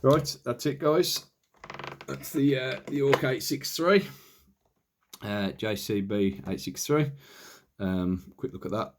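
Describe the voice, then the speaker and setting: A man's voice: medium pitch, slow, long pauses. A man giving a casual, unscripted hands-on demonstration, thinking aloud in a hesitant, tentative way. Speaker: presenter of a radio equipment demonstration.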